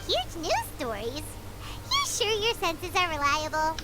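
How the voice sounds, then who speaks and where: A woman voicing a small, excitable, childlike fairy companion in a video game, squeaky and exclamatory. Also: unhurried, loud music behind the voice, exaggerated voice, poor audio. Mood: startled, skeptical.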